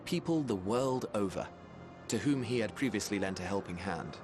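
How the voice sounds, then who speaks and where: An actor playing a genial, wry mage in a video game, speaking in eloquent, formal phrases with fond admiration. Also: male voice, fairly low pitch, animated, music in the background, lightly acted. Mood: earnest, admiring. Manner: eloquent, formal, measured storytelling. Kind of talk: video game cutscene acting.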